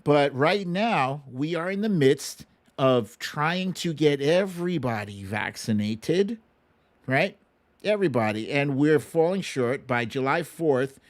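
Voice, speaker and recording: An American man hosting a live online talk show, chatting casually with viewers in an opinionated, emphatic, conversational way. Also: medium pitch. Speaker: host of a livestream talk show.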